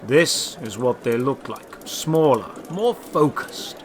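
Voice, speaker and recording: A man voicing a tall, mysterious old man in a fantasy audio drama, gruff and calm, speaking slowly and deliberately. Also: medium pitch, a little hiss or hum. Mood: knowing, cryptic. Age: older. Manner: slow, deliberate, measured delivery. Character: tall old robed man, mysterious, cold yet calming.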